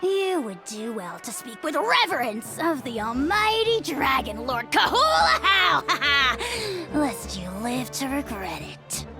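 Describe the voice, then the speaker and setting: A boastful little dragon tyrant from a game. The voice is high and swooping, with slow, grandiose menace, over music. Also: female voice, big pitch swings, full character voice. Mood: haughty. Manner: pompous, theatrical, threatening. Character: small haughty self-styled dragon lord, boastful and menacing. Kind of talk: video game voice acting. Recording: music behind the voice.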